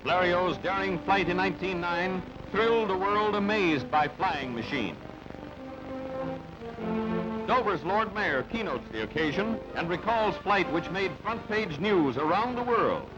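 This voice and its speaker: A man's voice: high-pitched, animated, unhurried. A man reads scripted newsreel narration in clipped, dramatic announcer phrases. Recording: dull band-limited newsreel audio, loud music. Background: loud music.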